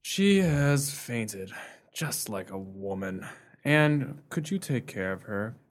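Sardonic captain, medium-pitched, pompous, mocking, callous, period radio drama. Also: male voice, expressive, slow pace, very noisy. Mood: dismissive, unhurried. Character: swaggering, sardonic, callous military captain suitor. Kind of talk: radio play acting.

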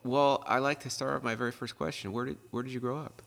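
A man hosting a podcast in casual chat, talking haltingly and repeating his phrases. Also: medium pitch, steady tone, vocal fry, a bit bright. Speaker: podcast host. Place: club podcast studio with car theme. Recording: long reverberant room.

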